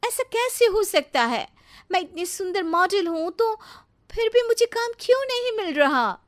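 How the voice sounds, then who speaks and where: Woman acting a high-pitched spoiled young model. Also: very animated, a little slow, exaggerated voice. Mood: upset. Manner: petulant, snappy, sprinkles English words into speech. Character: spoiled, haughty young female model, a daughter-in-law. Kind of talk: melodramatic animated story voice acting.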